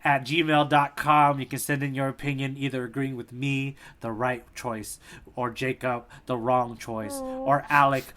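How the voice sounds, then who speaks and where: A man co-hosting a podcast in casual, comedic chat, telling things in an animated, jokey, rambling way. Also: mid-range voice, unhurried. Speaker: podcast co-host.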